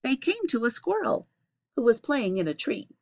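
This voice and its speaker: A middle-aged woman reading a children's picture book aloud with expressive, deliberate phrasing. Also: fairly high pitch, vocal fry. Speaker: storybook reader. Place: small indoor home room. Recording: dull lo-fi webcam recording.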